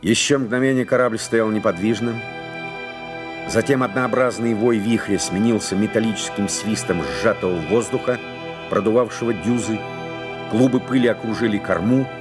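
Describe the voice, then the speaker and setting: A man's voice: fairly low pitch, fairly even intonation, moderate pace, natural voice. A man reading scripted, dramatic narration for an audio drama. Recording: clear music under the voice.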